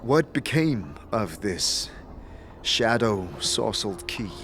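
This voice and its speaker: A man playing a curious, unsteady wanderer in a fantasy audio drama, asking probing questions haltingly. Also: on the low side, sing-song, fairly bright tone, exaggerated voice. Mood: inquisitive. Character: curious, unsteady male wanderer with lost memories.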